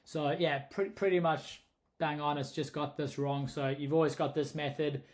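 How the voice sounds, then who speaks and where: South African man, young, casual tutorial, monotone, self-correcting ramble, fairly high voice. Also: moderate pace. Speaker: short-term rental host explaining pricing. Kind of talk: casual screen-recorded tutorial.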